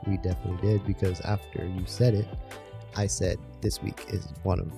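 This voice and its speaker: A young man co-hosts a casual podcast with a low, gravelly voice full of vocal fry. He lays out his view calmly and steadily. Faint music sits underneath. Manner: calm, measured, explains his view. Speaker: podcast co-host. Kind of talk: casual chat.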